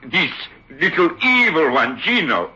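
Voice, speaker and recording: A man's voice: on the high side, big pitch swings, measured pace, sharp tone, slight background noise, exaggerated voice. A man playing a tormented, paranoid stage ventriloquist in an old radio drama, agitated, in emphatic broken English. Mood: frantic, accusing. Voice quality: gravelly, very hoarse. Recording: dull lo-fi old radio recording.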